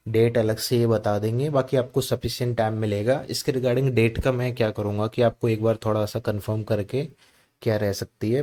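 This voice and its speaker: A man's voice: lowish voice, a little mellow. An Indian man presenting an informal, instructive exam-coaching explainer, mixing in English terms and often checking in with the listener. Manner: instructive, mixes Hindi with English terms, repeats check-in tags. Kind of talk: informal explainer of a job notification.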